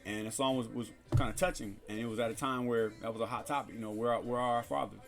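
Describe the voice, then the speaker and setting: A man's voice: medium pitch, steady tone, quick pace, gravelly, faint music behind. A man in casual podcast conversation who talks like a preacher, citing scripture and building to emphatic, repeated phrases. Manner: cites scripture.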